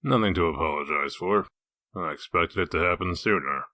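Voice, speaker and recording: A man playing a gruff, sardonic wolf detective in human form, dry and needling, in a comic dub. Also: low voice, moderate pace, bright, forceful tone, no room sound. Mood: calm, reassuring. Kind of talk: comic dub dramatic acting.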